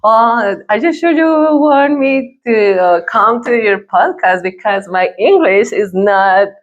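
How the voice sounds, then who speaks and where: A woman from Colombia with a Colombian Spanish accent, a native Spanish speaker talking earnestly as a podcast guest in halting English. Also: medium pitch, animated, lo-fi sound. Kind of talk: interview conversation.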